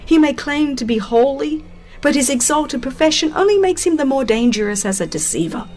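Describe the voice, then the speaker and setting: A woman reading scripted religious narration as an audiobook, with solemn, deliberate and dramatic phrasing. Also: sits high, expressive, darkish tone, faint music behind.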